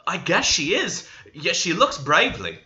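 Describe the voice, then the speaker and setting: A man voicing an excitable young servant girl who chatters rapturously in a storybook reading. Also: on the high side, measured pace, lo-fi sound, pitched above the performer's own voice, full character voice. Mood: rapturous, excited.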